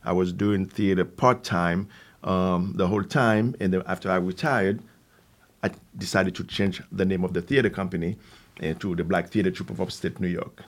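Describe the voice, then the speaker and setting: A man in his sixties with a Haitian accent, answering interview questions conversationally in a halting way with repeated words and asides. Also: deep voice, steady tone. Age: 60s. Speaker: theater company founder, guest.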